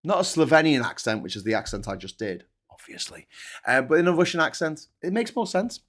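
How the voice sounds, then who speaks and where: A man's voice: a little high, big pitch swings, brisk, a bit bright. A man hosting a casual morning livestream, reading comments aloud with sarcastic, rambling asides. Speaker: host.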